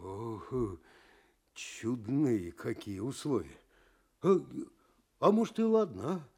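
Husky, humble poor farmhand. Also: male voice, medium pitch, huge pitch swings, slow pace, dark tone, exaggerated voice. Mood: dreamy, musing. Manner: hesitant, musing, sighing. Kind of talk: theatrical fairy-tale audio play acting.

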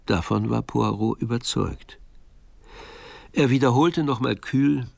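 A man's voice: mid-range voice, takes it slowly, creaky, darkish tone, no echo. A man narrating a radio drama in a scripted, literary storytelling style. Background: music.

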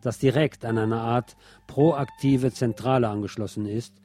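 Male radio presenter, mellow and relaxed, scripted news with wry commentary, faint music behind. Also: medium pitch, unhurried.

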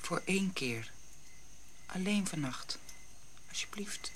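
An actress playing a tender, sorrowful African mother of a young boy in a radio drama, gentle and pleading. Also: on the low side, expressive, takes it slowly, a little hiss or hum.

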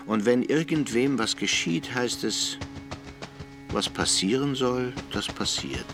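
A man's voice: mid-range voice, expressive, slow, over music. An actor playing a resigned, fatalistic small-town man, speaking sombrely in a radio play. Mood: bleak, resigned.

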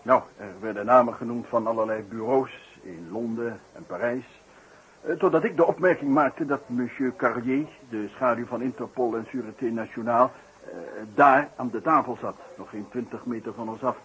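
A man playing a solemn circus ringmaster in a 1960s Dutch radio drama, speaking as a courteous, halting, formal spokesman. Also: mid-range voice, fairly bright tone, natural voice. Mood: formal, earnest. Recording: dull, noisy old radio recording.

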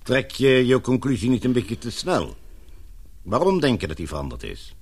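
A middle-aged man playing a concerned father in authority in a radio drama, coaxing and questioning in a husky voice. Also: mid-range voice, wildly swooping pitch, normal speed, darkish tone, full character voice. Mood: puzzled, probing.

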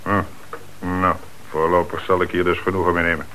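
A man's voice: low-pitched, little pitch movement, a little fast, pushed and bright, poor audio. A man acting in a radio play as a stern, dry, businesslike police commissioner, speaking with insistent authority. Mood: firm. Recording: hissy old radio play recording.

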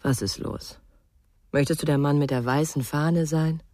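A woman's voice: sits low, steady tone, moderate pace, mellow, dry recording, clean studio sound, close to an everyday voice. An actress playing a wary, worried, blunt woman in a radio crime drama, asking curt, probing questions. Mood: worried, reproachful.

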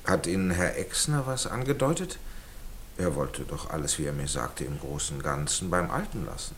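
An actor playing a genial middle-aged gentleman in a radio drama, polite and measured in his manner. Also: rumbling low, expressive, slight background noise. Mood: courteous, reflective. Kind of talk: naturalistic radio drama acting.

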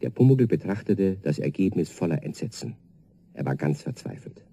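A man narrating a children's radio play as a calm storyteller, reading in a scripted storybook style. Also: low voice, normal speed, vocal fry, husky, heavily acted. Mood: measured.

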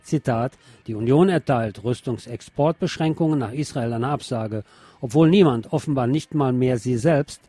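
A male radio presenter reading out press reports and commenting on them in a deliberate, emphatic way. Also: mid-range voice, dark tone, breathy edge, dry recording. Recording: music behind the voice. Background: background music.

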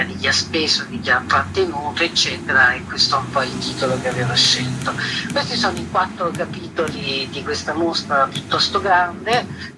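A woman being interviewed as an exhibition curator, explaining in an earnest, reflective way with searching pauses. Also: sits low. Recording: clearly noisy background.

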